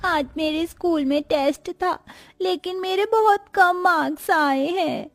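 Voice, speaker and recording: High-pitched meek schoolgirl voiced by a woman. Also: unhurried, faint music behind. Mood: tearful. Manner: earnest, meek. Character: dutiful, sensitive young schoolgirl. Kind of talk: Hindi cartoon moral story.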